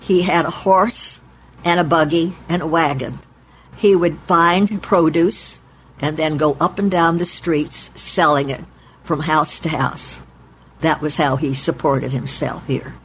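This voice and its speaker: Woman in her eighties, from Oklahoma, deliberate recollection, faint background hiss. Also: low voice, steady tone, low-quality recording. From Oklahoma, United States. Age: 80s. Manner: measured, deliberate, spells out names letter by letter. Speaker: oral history interviewee. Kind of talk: recorded oral history recollection. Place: her apartment in a retirement home.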